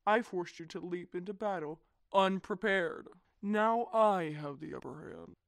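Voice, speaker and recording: A man's voice: sits high, a little slow, creaky, no echo. A man playing a boastful, theatrical supervillain inventor in a superhero audio roleplay, pompous and taunting. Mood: gloating.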